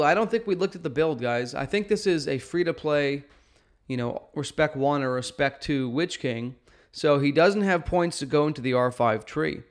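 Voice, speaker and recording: A man gives casual strategy commentary on a game, emphatic and often checking in with the listener. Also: medium pitch. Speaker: gaming video host. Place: indoor room at home.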